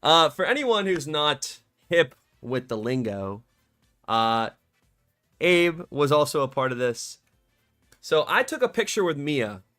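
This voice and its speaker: A male streamer chatting casually and excitably on a live stream, his voice a bit scratchy from cheering, in a warm home room. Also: a little high, slow pace, soft background music. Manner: excitable, slangy, rambling.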